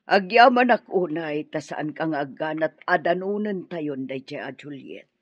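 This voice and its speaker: Husky older Ilocano mother. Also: deep voice, lively intonation, normal speed, no room sound. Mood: weary, worried. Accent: Filipino, Ilocano. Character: ailing older mother, matchmaking and talkative. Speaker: voice actress. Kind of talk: Ilocano radio drama acting. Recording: dull lo-fi radio drama audio.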